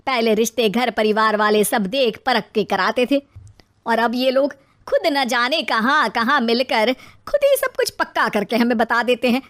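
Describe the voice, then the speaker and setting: A woman playing a domineering, sharp-tongued middle-aged mother-in-law in an acted moral story, scolding and taunting theatrically. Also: on the high side, lively intonation, normal speed. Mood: complaining.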